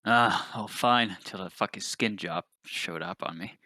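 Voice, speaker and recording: Sour, sarcastic starship scientist played by a man, low-voiced and dry, grumbling tabletop roleplay. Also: a little slow, bright, forceful tone, no echo. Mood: grumpy, sarcastic. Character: grumpy, foul-mouthed male ship's scientist who hates androids.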